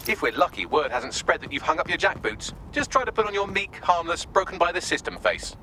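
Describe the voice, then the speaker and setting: Droll, animated British AI assistant. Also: male voice, a little high, fairly quick, slight background noise. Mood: droll, instructive. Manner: wry, wordy, sardonic, crisp. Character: droll, theatrical male artificial intelligence assistant. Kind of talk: video game mission guide dialogue.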